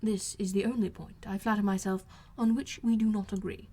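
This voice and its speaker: A woman reader plays a middle-aged gentleman father from a classic novel. He speaks coolly and deliberately, with deadpan, sardonic dryness. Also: mid-range voice, close to an everyday voice. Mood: teasing irony. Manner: deadpan, measured.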